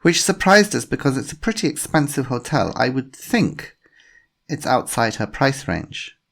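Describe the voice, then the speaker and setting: A man's voice: mid-range voice, very animated, moderate pace, vocal fry, a bit bright, pitched above the performer's own voice, exaggerated voice. A man voicing a female police inspector with a slight German accent, politely persistent in her questions. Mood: probing.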